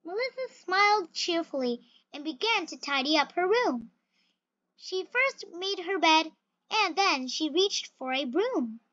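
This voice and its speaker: Very high, bright young-sounding storybook reader. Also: sing-song, measured pace, dry recording, highs cut off. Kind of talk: children's picture book read aloud.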